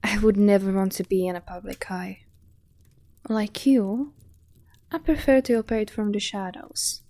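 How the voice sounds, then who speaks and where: A woman's voice: mid-range voice, fairly even intonation, unhurried, mellow, no room sound, natural voice. A woman playing a composed, decisive and shrewd village advocate in a fantasy audio drama, speaking with poise. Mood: composed, knowing. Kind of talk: fantasy audio roleplay acting.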